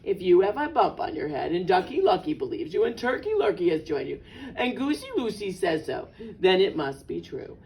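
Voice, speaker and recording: A woman voicing a male storybook rooster who questions and is easily persuaded, in a deliberate theatrical way. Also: on the low side, very animated, a little mellow, recorded from a distance, pitched below the performer's own voice, low-quality recording.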